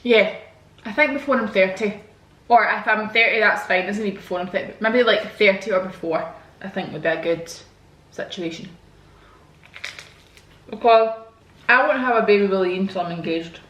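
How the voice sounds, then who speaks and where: A woman's voice: mid-range voice, moderate pace, pauses often, fairly bright tone. Chatty young woman, echoey room. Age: 20s. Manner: chatty, frank, slangy. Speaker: vlogger. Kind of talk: casual chat answering viewer questions. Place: home, indoors. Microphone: camera mic.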